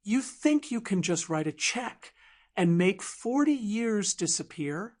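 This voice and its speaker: A woman voicing a stubborn, wounded woman in her sixties in an audiobook drama, sharp, sardonic and blunt. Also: mid-range voice, big pitch swings, slow. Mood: bitter, wounded. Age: 60s. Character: stubborn, wounded older small-town woman, bitter and sardonic.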